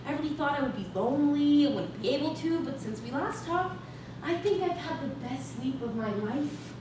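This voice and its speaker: A woman's voice: high voice, animated, moderate pace. A woman playing a taunting, sarcastic estranged wife in a staged reading of a play. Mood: smug. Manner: needling, sarcastic. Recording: echoey, noisy stage recorded from far off.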